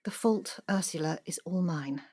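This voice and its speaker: Audio drama role: wealthy wife, forties, cool and withholding, dryly cutting, naturalistic delivery. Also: female voice, fairly low pitch, moderate pace, a little mellow, husky. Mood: controlled. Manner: dry, clipped, withholding.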